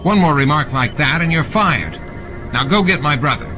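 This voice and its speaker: A man's voice: a little high, lively intonation, moderate pace, muffled recording, voice pushed up, lightly acted. A man voicing a greedy, scheming alien bar owner who protests and evades in an agitated way, in a dramatized audiobook. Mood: feigned sternness. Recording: music and sound effects behind the voice.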